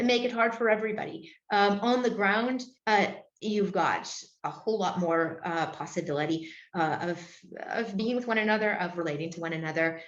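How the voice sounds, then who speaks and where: Hesitant woman on a roomy, dull line. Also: medium pitch. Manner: hesitant, heavy with fillers and restarts. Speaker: Benedictine nun, interview guest. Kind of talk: careful conversational answers.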